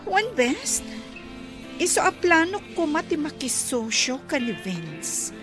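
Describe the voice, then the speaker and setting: A woman's voice: high-pitched, sing-song, slow pace, exaggerated voice. A woman playing a worried resort-owning madam in an Ilocano radio drama, speaking earnestly and with animation. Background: music under the voice.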